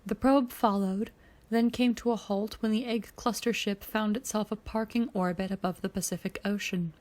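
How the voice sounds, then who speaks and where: A woman's voice: mid-range voice, steady tone, normal speed, darkish tone. A woman reading scripted fan fiction aloud as a storyteller. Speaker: podfic narrator.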